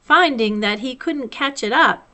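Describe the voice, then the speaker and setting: A woman reading a children's storybook aloud as a library storyteller, with measured, deliberate phrasing. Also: sits high, lively intonation, sharp tone.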